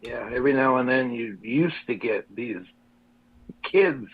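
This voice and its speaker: A man's voice: mid-range voice, measured pace, breathy edge. Friendly guy calling in, sounds like he's on a phone line. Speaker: regular caller. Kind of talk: casual chat.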